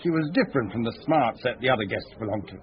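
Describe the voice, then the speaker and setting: A man's voice: mid-range voice, expressive, quick pace, natural voice. An actor playing a diligent, precise investigator in a radio drama, reporting facts methodically to his chief. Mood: methodical, factual. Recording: dull, muffled radio sound.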